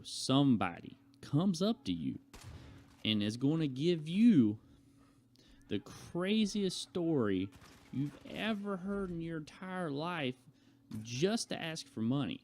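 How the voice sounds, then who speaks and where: A young adult man chatting casually to viewers as a vlogger, telling a rambling personal story in a conversational way. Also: medium pitch. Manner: conversational, acts out quoted remarks.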